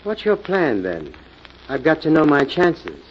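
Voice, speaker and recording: On a muffled, faintly noisy vintage radio recording, a hard-nosed American club boss. His voice sits a little high for a man, and he talks tough, firm and skeptical, with cool menace. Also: huge pitch swings, measured pace. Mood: skeptical, probing. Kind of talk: 1940s radio drama acting.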